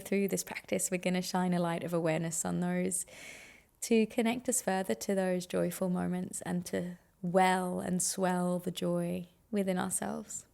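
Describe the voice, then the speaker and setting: Female meditation guide: very slow, soothing delivery with a gravelly fry at the edges. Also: fairly low pitch, darkish tone. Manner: soothing, unhurried instruction with pauses.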